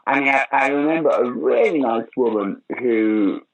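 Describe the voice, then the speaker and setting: A man's voice: mid-range voice, unhurried, lo-fi sound. A man in his sixties being interviewed about his acting career, telling stories animatedly, often restarting and putting on the voices of people he quotes. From United Kingdom. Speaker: actor and director being interviewed. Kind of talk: casual interview chat.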